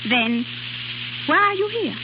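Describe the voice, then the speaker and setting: An actress playing a passionate young French nightclub singer in 1930s radio drama style, with a French accent and theatrical phrasing. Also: female voice, sits high, lively intonation, a little slow. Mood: agitated, urgent. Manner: theatrical, foreign-tinged phrasing. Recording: muffled, noisy 1930s radio broadcast with hum.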